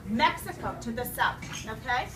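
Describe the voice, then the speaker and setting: A woman's voice: fairly high pitch, animated, moderate pace. A woman teaching an elementary class in an interactive read-aloud, instructing, asking questions and repeating students' answers back. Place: indoor school classroom. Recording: roomy, distant, noisy classroom recording.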